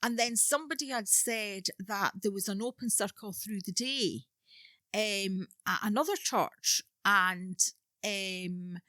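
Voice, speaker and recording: Bright-voiced woman in her fifties. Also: medium pitch, slow pace, no room sound, studio quality. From Scotland. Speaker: podcast guest. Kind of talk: casual interview chat.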